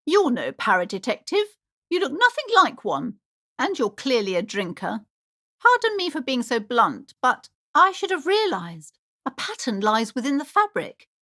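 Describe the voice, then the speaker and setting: High, swooping, haughty shopkeeper mother. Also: sharp tone, full character voice. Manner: theatrical, imperious, swooping between sweet and scolding. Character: haughty, superstitious, high-strung shopkeeper mother, pushy and judgmental. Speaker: voice actor in a video game. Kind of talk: theatrical character dialogue for a video game.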